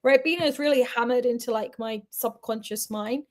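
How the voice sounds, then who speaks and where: A woman's voice: a little high, brisk, darkish tone. A young British woman from the UK chatting casually, rambling with lots of fillers and tangents. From United Kingdom. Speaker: co-host. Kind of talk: casual chat.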